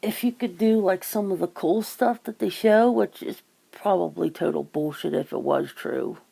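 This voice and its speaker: A woman with a creaky, gravelly voice chatting casually in an ASMR style, musing and rambling as host. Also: lowish voice, normal speed, mellow, poor audio.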